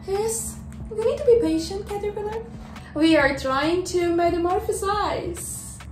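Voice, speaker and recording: A woman's voice: extremely high pitch, a little slow. A young woman reading a picture book aloud expressively for children. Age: young adult. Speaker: storyteller for children. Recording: roomy echo.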